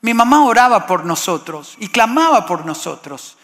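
A man's voice: high voice, sing-song, moderate pace, fairly bright tone, long reverb tail. A man from Costa Rica preaching a sermon, impassioned and building to exclamations.

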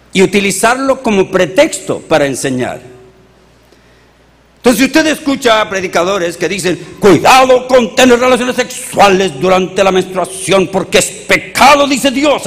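Chilean male pastor, high voice, animated sermon delivery, reverberant hall. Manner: animated, rhetorical questions to the congregation.

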